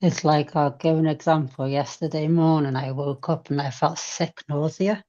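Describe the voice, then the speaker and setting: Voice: medium pitch, steady tone, moderate pace, mellow. A person chatting casually and candidly about their own feelings, in a reflective, conversational way. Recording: dull, lo-fi sound.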